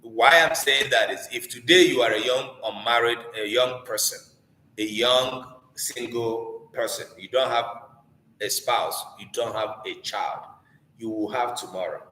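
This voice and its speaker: A man's voice: mid-range voice, unhurried, sharp tone. A man with a Nigerian Igbo accent teaching a live online class informally, earnest and exhorting, posing rhetorical questions. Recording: echoey room with long reverb.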